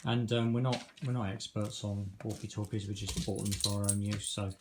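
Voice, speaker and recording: Casting: low-pitched male product reviewer, informal and tentative, clear background noise and clicks. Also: flat delivery, moderate pace. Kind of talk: casual unboxing and review commentary. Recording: noisy recording with handling clicks.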